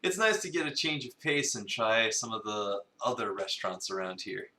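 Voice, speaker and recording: A man's voice: lowish voice, moderate pace, sharp tone. A man playing a grandly theatrical, suave cafe owner in a visual novel reading, with pompous, formal phrasing. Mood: grand, genial. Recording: roomy, echoey sound.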